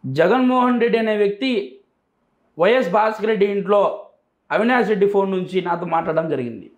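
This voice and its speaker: A man's voice: high-pitched, fairly quick. A man with a Rayalaseema Telugu accent answering interview questions emphatically, repeating phrases to drive points home. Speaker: interview guest. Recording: echoey, roomy sound.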